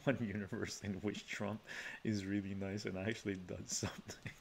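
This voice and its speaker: Soft-spoken deep-voiced man on stream. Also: level tone, moderate pace, creaky, slight background noise. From Canada, of Armenian descent from Iran. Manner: soft-spoken, chuckling, chatty asides with frequent "right?". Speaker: live-stream host reading chat. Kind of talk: relaxed ASMR live-stream chat. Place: home study lined with bookshelves. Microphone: webcam framing at desk.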